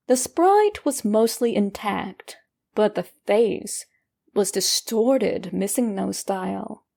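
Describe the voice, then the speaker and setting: A woman narrating a scripted first-person horror story in a measured, deliberate storytelling manner with pauses. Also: mid-range voice, dark tone. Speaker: creepypasta narrator.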